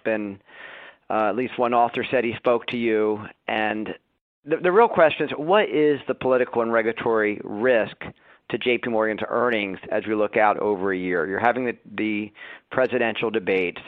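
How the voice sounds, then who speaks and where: A man with a mid-range voice poses questions as a financial analyst. His phrasing is halting and roundabout. He comes through a thin phone line with no room sound. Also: mid-range voice, no echo. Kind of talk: spontaneous question on earnings call. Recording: dry, tinny phone line.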